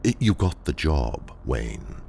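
A very deep-voiced, gravelly corporate villain in an audio drama. He talks slowly and with polite condescension, his calm menace carried on widely swooping intonation. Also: full character voice. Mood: smooth, feigned politeness. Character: sinister, ruthless male corporate trainer, calmly condescending. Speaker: training engineer in an audio drama.

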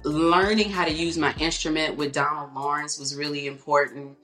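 A woman with a low alto voice, telling stories in a reflective way and peppering her talk with "you know". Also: steady tone, moderate pace, echoey room, faint music behind. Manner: warm, reflective storytelling with many "you know"s. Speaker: guest singer. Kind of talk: casual interview talk.

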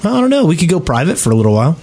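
A man's voice: a little high, wildly swooping pitch, racing, dark tone. A man co-hosting a podcast, talking casually with others about online gaming. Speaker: podcast co-host.

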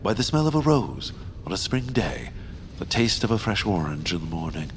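An actor playing a pompous, callous rich baron in a family audio drama, with a theatrical, self-satisfied delivery. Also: male voice, fairly low pitch, big pitch swings, moderate pace, slight background noise. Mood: exasperated, grandiose. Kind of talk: family audio fiction character acting.

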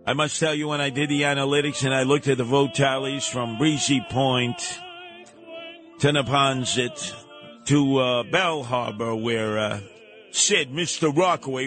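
A man's voice: medium pitch, fairly bright tone. A man with a New York accent hosting a talk radio show, delivering a combative, emphatic, slangy monologue. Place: radio studio. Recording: music playing behind the voice.

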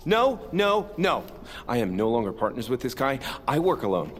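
An actor as an excitable, swooping foul-mouthed young guy. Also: medium pitch, moderate pace, big-room sound, a little hiss or hum, natural voice. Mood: indignant. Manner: dramatic, sarcastic, swearing. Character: excitable, dramatic, foul-mouthed man, sulky would-be hero. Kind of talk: audio comedy drama acting.

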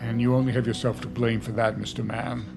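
An actor playing a defensive mayor in an audio drama, giving measured, formal, evasive answers as if at a press conference. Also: male voice, mid-range voice, level tone, creaky, over music, lightly acted. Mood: curt, combative. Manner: measured, evasive political speech. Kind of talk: audio drama acting, formal public address.

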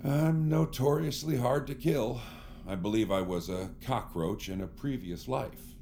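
An actor playing a smarmy, theatrical celebrity who poses as a savior, speaking in a pompous, evasive, grandiose way in an audio drama. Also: male voice, medium pitch, a little slow, darkish tone, a little hiss or hum, natural voice. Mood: smug, evasive.

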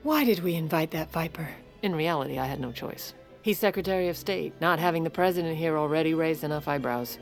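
Give the story to a wry, exasperated First Lady. Her voice sits low with plenty of fry. She confides dryly, as the narrator and heroine of a comedic period audio drama. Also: a little fast, soft background music. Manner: dry, wry. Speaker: narrator and lead character.

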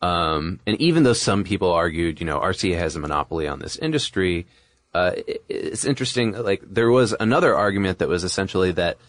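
A man with a low voice talks through history as a podcast co-host. He explains things casually and discursively, in a conversational style. The sound is dry, with very little room in it. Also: lively intonation, a little fast, no echo.

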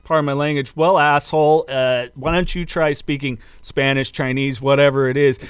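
A man's voice: mid-range voice, fairly even intonation, moderate pace, background noise. An American man hosting a casual interview, talking in a rambling, roundabout way. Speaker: podcast host.

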